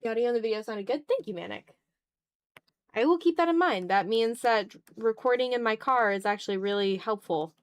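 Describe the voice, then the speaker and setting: A woman's voice: on the high side, brisk, no room sound. A tired woman chatting casually on an art livestream while she draws, self-deprecating and often trailing off into muttering to herself.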